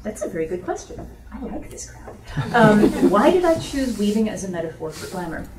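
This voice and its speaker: A woman's voice: medium pitch, very animated, normal speed, crowd noise. A woman author answering audience questions at a book event in an informal, explanatory, conversational way. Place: bookstore event room. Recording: roomy echo, distant microphone.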